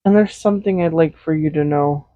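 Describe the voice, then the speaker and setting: A man's voice: on the high side, fairly quick, no echo, lo-fi sound, natural voice. A man playing a tender, possessive villain in an intimate roleplay audio drama, speaking in a soothing, coaxing, reassuring way. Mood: earnest, seductive. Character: tender, possessive villain comforting a captive loved one. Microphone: close USB condenser microphone.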